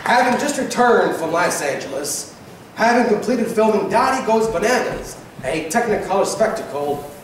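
A man's voice: high voice, expressive, moderate pace, distant mic, a crowd in the background. A man playing a showy 1940s radio announcer, presenting grandly to a live audience. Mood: showy, welcoming. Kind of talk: live radio show introduction. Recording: echoey stage from afar, noisy audience.

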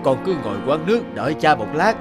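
A man voicing a patient, middle-aged peasant father in an animated folk tale, teaching in a measured, admonishing way. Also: fairly high pitch. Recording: loud music under the voice.

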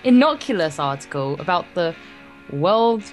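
A slightly low-voiced woman reads a visual novel aloud as a Let's Play host. She is informal and jokey, tripping over the text at times, with faint guitar music behind her. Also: very animated, unhurried. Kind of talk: reading game text aloud with casual banter.

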